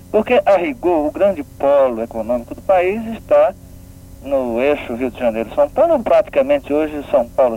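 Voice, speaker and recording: A man from Pernambuco with a northeastern Brazilian accent, explaining things didactically as a radio interview guest and often checking with tag questions. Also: medium pitch. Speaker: guest, regional development agency official. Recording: narrow phone-like band, faint noise.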